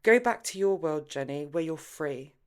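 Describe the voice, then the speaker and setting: A woman's voice: low voice, lively intonation, normal speed, a little mellow, smooth. A woman voicing a theatrical, grandiose man with a mock-pompous, declamatory manner in an audiobook. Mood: bitter, yearning. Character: theatrical, grandiose, tipsy man yearning for freedom.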